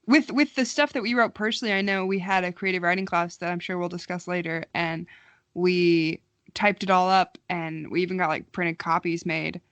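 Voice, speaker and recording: A woman's voice: on the low side, steady tone, normal speed, fairly bright tone, no room sound. Young woman chatting, close dry sound. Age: young adult. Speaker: podcast co-host. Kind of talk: casual chat between friends.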